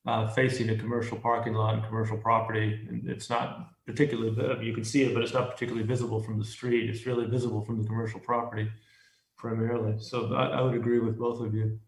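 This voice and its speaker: A man's voice: lowish voice, little pitch movement, quick pace. A man chairing a formal public meeting, moderating discussion and calling for motions. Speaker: commission chairman. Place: remote meeting call. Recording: echoey remote meeting audio.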